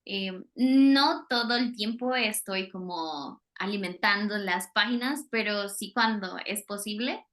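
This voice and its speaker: A woman's voice: mid-range voice, unhurried, hardly any pauses, fairly bright tone. A Colombian woman, a Spanish teacher, talking casually in an interview over a video call, hesitating with many fillers.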